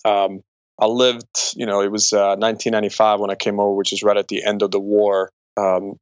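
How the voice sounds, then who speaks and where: A man's voice: lowish voice, little pitch movement, brisk, no echo, muffled recording. A man in his forties from Croatia, talking conversationally about himself as an interview guest. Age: 40s. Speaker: podcast guest, entrepreneur and speaker. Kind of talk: conversational interview, personal storytelling.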